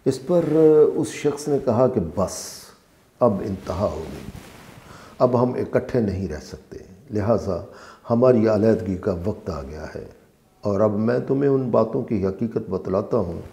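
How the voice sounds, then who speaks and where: Male narrator for scripture translation: dark, soft-edged tone with noticeable fry, deliberate and emphatic phrasing. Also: lowish voice, animated. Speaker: translator and reciter of a Quran translation. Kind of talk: scripted religious narration, read aloud.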